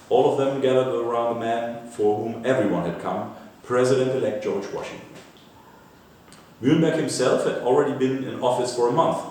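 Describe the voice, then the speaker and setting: A man reading a scripted popular history narrative aloud in a measured way, like an author presenting an excerpt, with an occasional stumble. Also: mid-range voice, a little mellow. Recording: echoey room, distant mic, faint noise.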